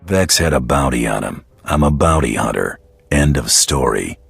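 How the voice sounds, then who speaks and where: Gruff bounty hunter in a game, super low gravelly voice, curt and threatening. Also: male voice, expressive, moderate pace, dry recording, faint music behind, full character voice. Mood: cold menace. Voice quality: gravelly, husky. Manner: curt, blunt. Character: gruff, terse, menacing male bounty hunter. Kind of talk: video game cutscene acting.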